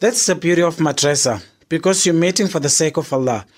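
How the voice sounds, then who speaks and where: A man playing a calm madrasa student who reads narration aloud and reassures his friend. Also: fairly high pitch, fairly quick, close to an everyday voice. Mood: reassuring. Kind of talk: audio drama acting.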